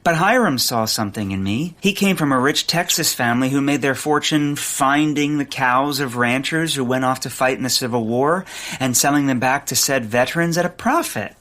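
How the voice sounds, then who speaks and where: A man's voice: mid-range voice, moderate pace, fairly bright tone, close to an everyday voice. A man playing a naive, earnest, rambling rich birdwatcher in a comic audio drama. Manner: earnest, obliviously literal, rambling.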